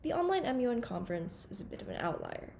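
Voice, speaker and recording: A woman's voice: on the high side, very animated, darkish tone, a little hiss or hum. Earnest teenage girl giving a formal speech. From Hong Kong. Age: teens. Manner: earnest, formal, measured delivery. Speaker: conference undersecretary general giving a welcome speech. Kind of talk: prepared ceremonial speech.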